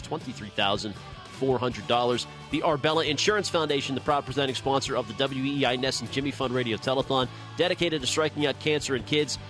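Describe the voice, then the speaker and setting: Mid-pitched male sports radio host. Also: a bit bright. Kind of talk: live sports broadcast recap with sponsor reads. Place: ballpark broadcast booth.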